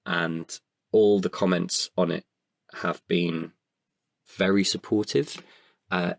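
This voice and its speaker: A man with a creaky voice in a candid podcast conversation, speaking hesitantly and often correcting himself. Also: sits low, steady tone, dry recording, studio quality. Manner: hesitant, halting with self-corrections. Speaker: writer-performer guest.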